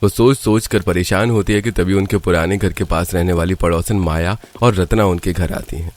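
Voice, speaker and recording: A man's voice: low-pitched, fairly even intonation, normal speed, darkish tone. A man narrating a scripted cartoon storybook tale as the narrator.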